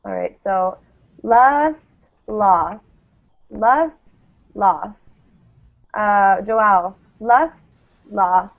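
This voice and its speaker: Slow, thin-sounding woman teaching pronunciation. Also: mid-range voice. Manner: encouraging, enunciates model words slowly. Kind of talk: live online lesson, drilling word pairs. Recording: thin phone-like call audio.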